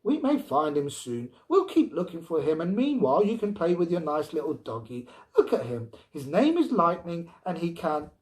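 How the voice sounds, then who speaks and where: A man's voice: fairly high pitch, big pitch swings, normal speed, darkish tone, voice pushed up. A man voicing a stubborn, exasperated mother in a story, firm and emphatic. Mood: soothing. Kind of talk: storybook character reading.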